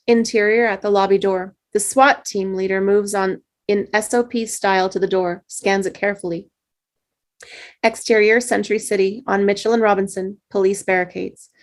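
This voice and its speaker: A woman reading a screenplay's stage directions aloud at a table read, steady with small slips. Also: mid-range voice, moderate pace.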